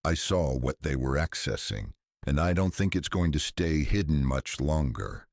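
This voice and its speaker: A man voicing an earnest, guarded researcher, a woman in her mid-fifties, speaking quietly and seriously in an audio drama. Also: very deep voice, animated, normal speed, no echo, studio quality, exaggerated voice. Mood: urgent, confiding.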